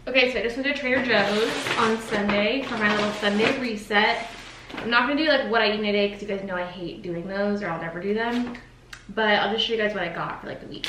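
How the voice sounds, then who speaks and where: A young woman chatting casually and informally in short thinking-aloud phrases, as a lifestyle vlogger filming her day at home. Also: a little high. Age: young adult. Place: apartment kitchen and rooms, indoors. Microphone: camera mic a few feet away. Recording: roomy, distant, noisy camera audio.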